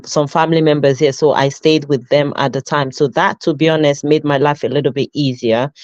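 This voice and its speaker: A woman's voice: sits low, a little mellow, no echo. A woman from Nigeria with a Nigerian accent, a custody nurse in her thirties telling her life story in an interview, reflective and full of fillers and restarts. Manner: reflective, with frequent fillers and self-corrections. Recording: dull lo-fi sound.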